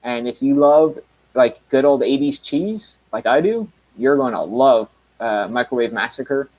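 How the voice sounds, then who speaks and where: A man in his mid-thirties talks loosely on his own live stream, wandering between thoughts with plenty of uhs and restarts. His voice sits at an ordinary middle pitch for a man. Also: a little slow, a little mellow, poor audio. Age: 30s. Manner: rambling, many fillers and restarts. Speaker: channel host. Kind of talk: casual live stream chat.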